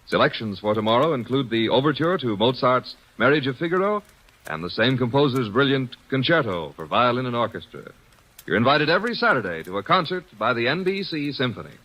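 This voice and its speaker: A man's voice: medium pitch, fairly quick, rough recording. A man announcing the credits and program notes in a formal 1940s radio style. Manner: formal, crisp and lively. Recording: old radio broadcast with music.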